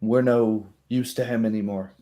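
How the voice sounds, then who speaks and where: A man voicing a wary, weary female kobold in a tabletop roleplay, speaking haltingly with pondering hums. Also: lowish voice, steady tone, dark tone, close to an everyday voice.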